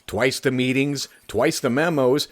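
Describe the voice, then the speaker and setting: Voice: mid-range voice, fairly even intonation, unhurried, natural voice. A performer of unclear sex playing an indignant, contemptuous, pedantic editor in a comic audio drama, emphatic in delivery. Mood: scornful. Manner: scornful, emphatic.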